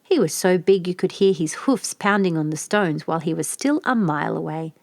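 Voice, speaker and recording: A woman reading a scripted children's fairy tale as an expressive storyteller. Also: on the low side, brisk, no room sound, studio quality.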